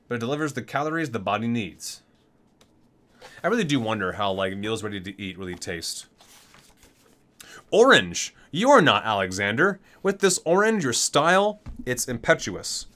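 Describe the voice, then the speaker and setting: A man presents in an ASMR style, reading catalog-like game entries piece by piece. His medium-pitched voice moves up and down with a lot of animation. He breaks from the measured reading into casual, enthusiastic commentary. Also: fairly bright tone. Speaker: ASMR video creator, gamer. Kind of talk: ASMR reading aloud from a game item book with casual asides.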